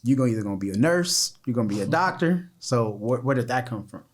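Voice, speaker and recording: A man's voice: medium pitch, lively intonation, fast. A man hosting a casual podcast interview, playful and slangy, often repeating himself. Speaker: podcast host.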